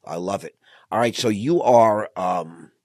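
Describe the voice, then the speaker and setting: A man hosts an interview podcast with a low, husky voice. He is eager and effusive, often stumbling over repeated words. The sound is dry and close. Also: a little slow, dry recording. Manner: enthusiastic, effusive, repeats words when excited. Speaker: podcast host. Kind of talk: conversational interview.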